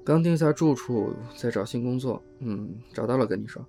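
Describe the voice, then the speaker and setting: A man acting a polite, self-effacing young man in an audio drama, soft-spoken and courteous. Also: medium pitch, lively intonation, normal speed, music in the background, lightly acted. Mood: weary, reserved.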